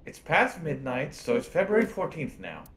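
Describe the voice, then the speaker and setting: A man voicing a brisk, flirty female AI companion in an amateur video game fandub. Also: fairly high pitch, huge pitch swings, a bit bright. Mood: teasing.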